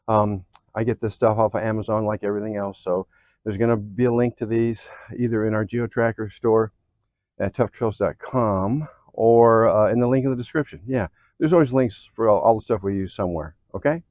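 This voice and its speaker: An American man giving a casual, folksy how-to, often checking in with a quick okay or all right. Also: on the low side, no room sound, dull audio. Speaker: host of an off-road vehicle DIY channel. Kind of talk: casual how-to chat to camera.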